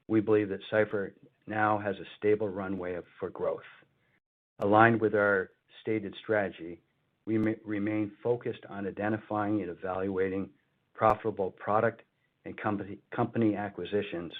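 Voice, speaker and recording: A male executive with a low voice delivers a formal financial update over a band-limited conference line. He reads from prepared remarks, sometimes halting and repeating a word before he carries on. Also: narrow, tinny audio. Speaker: company executive. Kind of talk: scripted earnings call presentation.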